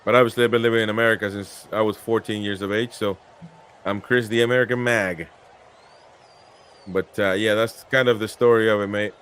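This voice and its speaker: A man's voice: on the low side, fairly even intonation, fairly quick, fairly bright tone, smooth. Host: Peru-born man, casual live match chat, reads comments from viewers.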